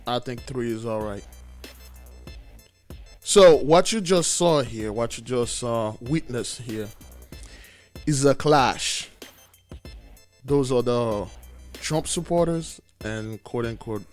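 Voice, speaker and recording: A raspy man preaching in an emphatic commentary, pressing hard on key words. His pitch sits mid-range for a man, and faint music plays underneath. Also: slow pace, long pauses. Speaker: religious video host.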